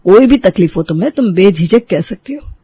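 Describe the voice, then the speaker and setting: A woman voicing a gentle young husband who speaks reassuringly. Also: mid-range voice, expressive, a little fast, breathy edge.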